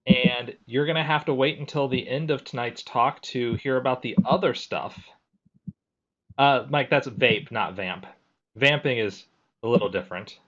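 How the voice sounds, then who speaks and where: A man's voice: medium pitch, moderate pace, muffled recording, background noise. A man hosting a technical webinar on a video call, reading chat questions and filling time with self-deprecating jokes. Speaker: webinar host and moderator. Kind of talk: casual moderating and filler talk.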